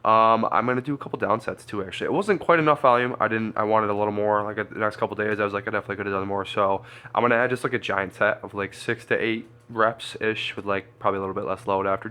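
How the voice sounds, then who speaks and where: A congested man, a strength coach, chatting casually through a training vlog and thinking out loud. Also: on the low side, fairly even intonation, quick pace. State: congested, stuffy nose.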